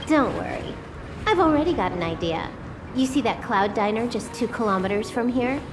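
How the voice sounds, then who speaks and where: A woman's voice: a little high, animated, normal speed, background noise, lightly acted. A woman voicing a petulant, cocky young hacker in a video game, talking in a casual, flippant way. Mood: flippant, confident. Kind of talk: scripted game dialogue.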